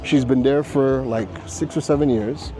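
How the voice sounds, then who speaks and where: A man from Trinidad hosting a casual vlog, chatty and excitable, laughing often as he talks at a rooftop bar. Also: medium pitch, normal speed, soft background music. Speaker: vlogger host. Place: busy rooftop bar and restaurant in a city.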